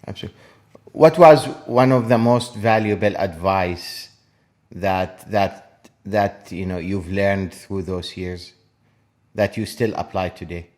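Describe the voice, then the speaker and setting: Brief: male podcast host, lowish voice, polite and halting interviewer. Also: darkish tone. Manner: polite, halting questions with restarts. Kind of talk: interview questions.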